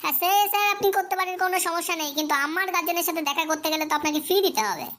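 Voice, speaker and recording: Silly cartoon schoolboy: squeaky high pitch, very quick tongue, cheeky literal-minded comebacks. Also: steady tone, sharp tone. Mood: argumentative. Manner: cheeky, playful backtalk. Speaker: pupil answering a teacher. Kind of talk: comedy cartoon dialogue.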